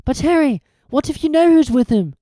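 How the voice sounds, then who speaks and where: A clever, know-it-all schoolgirl witch, as a woman reads her in a storybook, pitched high above the narrator's voice and swinging lively between notes. She talks brisk and excited. Warm, dry close recording. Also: no room sound. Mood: anxious.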